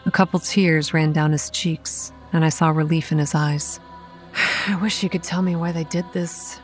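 Horror podcast lead: actress as a hot-headed young woman running a campground, lower register, earnest dramatic narration with bite, over faint music. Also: fairly even intonation, moderate pace, lightly acted. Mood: troubled, tender. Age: young adult. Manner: earnest, dramatic storytelling with sardonic edge. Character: young woman campground manager, guarded, hot-tempered, stubborn. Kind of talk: horror audio drama, first-person narration and dialogue.

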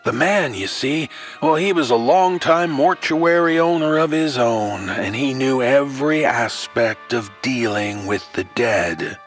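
A man playing a genial, darkly sly TV storyteller uncle, telling a horror tale in theatrical, drawn-out phrases with coy asides. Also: mid-range voice, expressive, soft background music, close to an everyday voice. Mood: ominous relish. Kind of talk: old-time TV horror storytelling.

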